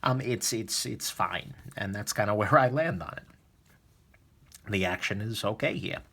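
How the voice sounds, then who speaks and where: Bright-voiced man chatting through a repair. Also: on the low side, unhurried, breathy edge. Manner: relaxed, chatty, many fillers. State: slightly sniffly from a cold. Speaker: knife reviewer, host. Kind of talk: casual tutorial narration.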